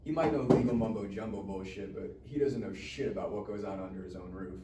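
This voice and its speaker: An actor playing a cheeky, defiant teenage stoner in a live comedy table read. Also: male voice, on the low side, brisk, warm tone, close to an everyday voice. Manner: cheeky, slangy. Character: smart-mouthed, defiant stoner teenage boy. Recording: echoey room, distant mic, faint noise.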